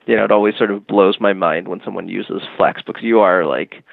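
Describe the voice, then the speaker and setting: A man's voice: lowish voice, a little fast, creaky, no echo. A man being interviewed on a podcast, explaining a product casually and hesitantly with many fillers like "you know" and "um". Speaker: guest, music marketing tech company. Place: Boston. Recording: dry, phone-like narrow band.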